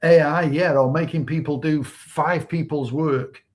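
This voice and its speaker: A man from England with a Manchester accent, co-hosting a casual online talk show in a relaxed, conversational way. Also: on the high side, measured pace. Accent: Manchester English. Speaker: co-host.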